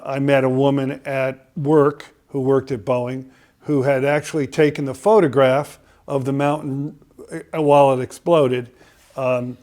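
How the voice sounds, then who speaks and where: A man's voice: medium pitch, darkish tone. An older man from Connecticut, a podcast guest telling a long story, with many filler sounds and restarts. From Connecticut, USA.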